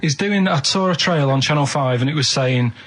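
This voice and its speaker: A man bantering casually on the radio in a stubborn, deadpan, matter-of-fact way. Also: on the high side, a little fast, crowd noise.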